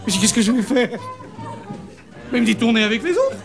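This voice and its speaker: A man's voice: very high-pitched, big pitch swings, fairly quick, over loud music, a loud crowd. A man performing a live comic monologue as a stand-up comedian.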